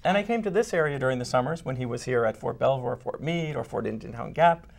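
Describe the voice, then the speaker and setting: A man from Vermont, a children's book author, explaining things in a measured, storytelling way in an interview. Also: mid-range voice, expressive, creaky. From Vermont, United States. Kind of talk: casual interview chat.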